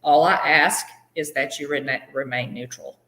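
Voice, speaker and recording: A woman's voice: low-pitched, steady tone, moderate pace, a bit bright, roomy sound. A woman co-hosting a live fan show, chatting casually and greeting viewers by name, with plenty of fillers. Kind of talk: casual live-stream chat.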